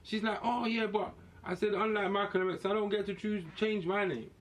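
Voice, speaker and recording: A man's voice: high voice, fairly even intonation, moderate pace, a bit bright. A man hosting a podcast, arguing in a blunt, slangy, casual way. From West Indian heritage. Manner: outspoken, argumentative, slangy. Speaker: podcast host. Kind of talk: casual heated discussion.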